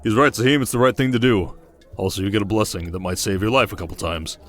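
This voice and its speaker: A man's voice: fairly low pitch, fast talker, soft background music, natural voice. A man playing a wry, teasing young king in an audio drama, with a dry, stately manner. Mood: warm encouragement. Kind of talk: audio drama acting, ASMR roleplay.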